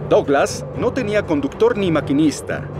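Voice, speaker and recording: Narrador de cuento animado, voz expresiva. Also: male voice, fairly high pitch, lively intonation, moderate pace, over loud music. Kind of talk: storybook narration.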